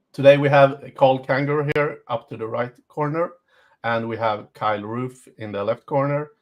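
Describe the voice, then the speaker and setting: A man hosting a live webinar Q&A, talking with many hesitations and fillers. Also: mid-range voice. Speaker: host.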